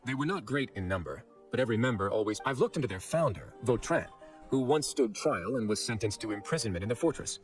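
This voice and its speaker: A man voicing a shrewd, composed prison fortress administrator in an anime game, courteous, smooth-talking and deliberate. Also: mid-range voice, animated, close to an everyday voice. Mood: explaining, composed. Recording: faint background music.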